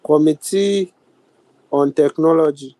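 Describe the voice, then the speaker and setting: A man with a Ghanaian accent chairing a youth parliament sitting in a formal, deliberate, halting way on a video call. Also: fairly high pitch, dark tone, dry recording. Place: virtual meeting over video call.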